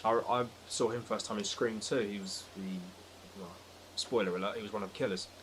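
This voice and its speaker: A man's voice: lowish voice, steady tone, moderate pace. A man chatting casually about films and games as a guest on a podcast. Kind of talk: casual film and game chat. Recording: audible background noise.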